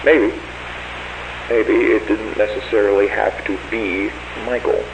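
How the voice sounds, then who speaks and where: A man's voice: on the low side, fairly even intonation, a little slow. An actor playing a bitter, unhinged father in a brooding confession, on a hissy, roomy amateur recording. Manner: brooding monologue.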